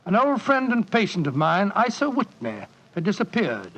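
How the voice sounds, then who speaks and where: An actor playing a genial, fussy elderly British doctor who reminisces in 1940s radio drama style. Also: male voice, sits high, wildly swooping pitch, moderate pace, a bit bright, heavily acted. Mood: storytelling. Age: older. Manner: avuncular, fussy, genteel. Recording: muffled vintage 1940s radio broadcast.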